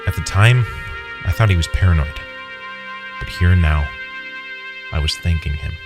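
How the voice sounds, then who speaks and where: A man with an extremely low voice narrates a creepy first-person tale. His delivery is measured and dramatic, and loud music plays underneath him throughout. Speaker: story narrator.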